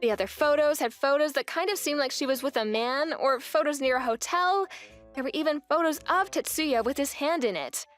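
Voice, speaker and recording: A woman's voice: sits high, a little fast, soft background music, lightly acted. A young woman playing an indignant, resolute wife who narrates her story expressively in a manga dub.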